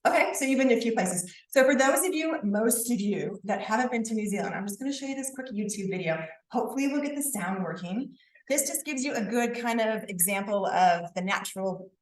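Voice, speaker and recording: A woman from the United States giving a casual talk to students about a university, chatting and asking the audience questions. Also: medium pitch. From United States (St. Louis, Missouri). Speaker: university recruitment and admissions officer. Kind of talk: informal presentation to a student club. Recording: roomy sound, mic at a distance.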